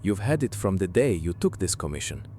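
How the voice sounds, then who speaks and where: A man's voice: deep voice, very animated, a little fast, mellow, a little hiss or hum. An actor playing a frank, loyal, earnest submarine crewman in a radio drama. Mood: loyal, resolute.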